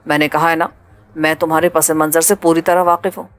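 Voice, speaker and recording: A woman voicing a cold, ruthless male pirate leader who talks in a calm, mocking, menacing way. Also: low-pitched, dry recording, voice pushed down, natural voice.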